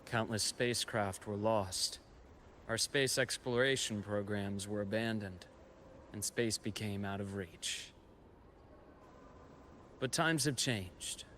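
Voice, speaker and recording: An actor playing a composed, knowledgeable expedition guide and scholar in a video game, telling history in a measured, reflective way. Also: male voice, lowish voice, a bit bright, slight background noise. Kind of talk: scripted video game story dialogue.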